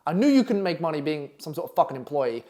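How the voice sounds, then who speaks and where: Brash, fast-talking Essex man. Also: on the high side, sing-song, darkish tone. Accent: Essex English. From England. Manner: brash, profane, pushy. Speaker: copywriting coach. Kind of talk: online masterclass presentation. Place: London flat.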